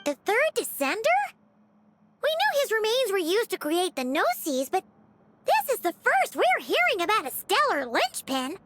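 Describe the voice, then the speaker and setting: A woman voicing a tiny, excitable floating girl companion in a video game, asking eager, high questions. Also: moderate pace, a little hiss or hum, full character voice. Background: game soundtrack music.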